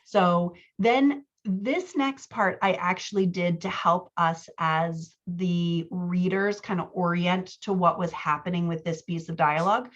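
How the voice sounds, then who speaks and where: Female writing coach, lowish register, chatty workshop critique with self-deprecating humour, read-aloud excerpts, slightly muffled lo-fi recording.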